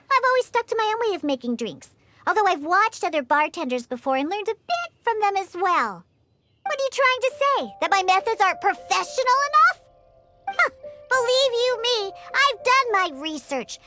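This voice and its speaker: A woman's voice: big pitch swings, normal speed, faint music behind, heavily acted. A woman voicing a feisty young catgirl bartender in a video game, with a childlike voice and an emphatic, indignant manner. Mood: defensive. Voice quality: high, bright, childlike. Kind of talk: animated video game character acting.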